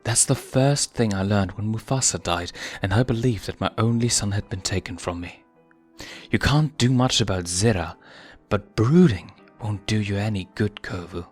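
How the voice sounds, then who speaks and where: Man voicing a soft, consoling old lioness. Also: on the low side, normal speed, husky, soft background music. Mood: gentle, consoling. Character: gentle old lioness, wise consoling grandmother.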